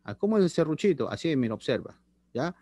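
A man's voice: on the high side, big pitch swings, quick pace, dark tone. Man teaching chemistry. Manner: chatty, repeats phrases, checks in with students. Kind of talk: online class lecture. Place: small room indoors. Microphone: laptop webcam on video call.

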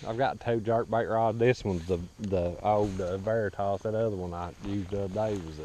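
Casual Southern US male angler, lowish voice, folksy, recorded outdoors on a boat. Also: steady tone, normal speed, dark tone. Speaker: angler vlogging. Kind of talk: casual chat. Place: outdoors on a small boat on a lake. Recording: clear outdoor ambient noise.

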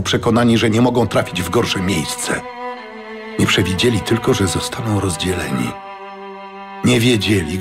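Low-pitched male audiobook narrator. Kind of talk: scripted fantasy audiobook narration. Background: music.

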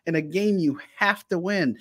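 A man's voice: high voice, moderate pace, a bit bright, breathy edge, no room sound, clean studio sound. A man co-hosting a sports podcast, talking in a frustrated, rambling way with many fillers. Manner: frustrated, rambling, heavy on fillers like "I mean" and "look". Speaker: podcast co-host. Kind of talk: sports analysis chat.

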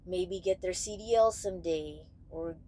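A young woman talking casually and candidly to camera in a halting way, searching for words as she goes. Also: lowish voice, dark tone. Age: young adult. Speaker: truck driver vlogger. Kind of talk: casual vlog talk to camera. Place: small padded truck sleeper bunk, indoors.

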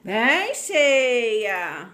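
A Brazilian mother with a slightly high voice, calmly talking her children through each step of a simple recipe. She speaks in a coaxing, gently teasing way, filmed on a phone in a home kitchen with faint noise around her. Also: drawn-out words. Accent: Brazilian Portuguese. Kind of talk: casual family cooking video.

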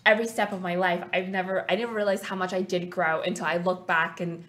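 A woman's voice: lowish voice, fairly even intonation, fairly quick, a bit bright. Young adult woman, studio guest, earnest and reflective, casual rambling delivery. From Canada. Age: 20s. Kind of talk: casual interview chat. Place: small TV studio set. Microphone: desk mic on stand.

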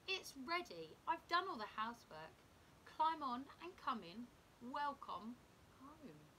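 An eager, house-proud little worm from a storybook read by a woman. The voice is slightly high, earnest and coaxing, with lively intonation. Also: slow pace, slight background noise, heavily acted, low-quality recording. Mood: welcoming.

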